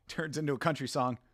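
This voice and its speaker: A man's voice: mid-range voice, fairly bright tone, no echo, studio quality. A man hosting a casual live watch-along, talking in a rambling, laid-back way, swearing, laughing often and answering viewer comments. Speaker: host.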